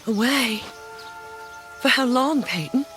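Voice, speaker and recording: Fearful devoted wife, earnest, emotional, slow delivery, radio drama, strong music bed. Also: female voice, a little high, lively intonation, fairly bright tone. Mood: worried. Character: anxious, pleading Southern planter's wife and mother.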